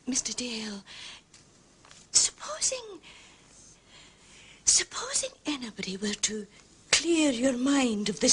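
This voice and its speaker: An actress playing an anxious, genteel widow in a period drama, halting and pleading as she confides. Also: on the high side, a bit bright, a little hiss or hum. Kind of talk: British television period drama acting.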